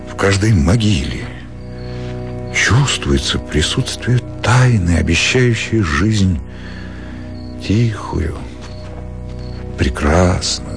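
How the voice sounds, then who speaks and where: An actor playing a respectable, intelligent country doctor musing to himself in a radio drama, with reflective pauses. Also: male voice, low-pitched, full character voice. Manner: reflective interior monologue with drawn-out pauses.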